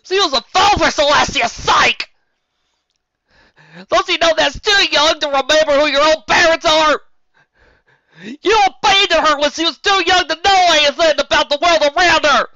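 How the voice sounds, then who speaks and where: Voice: sits high, moderate pace, no room sound, highs cut off, pitched above the performer's own voice. Applejack: a sobbing country pony mare, as a reader puts her on. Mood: anguished. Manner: crying out in grief, rising to shouts. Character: grieving young farm mare pony, folksy.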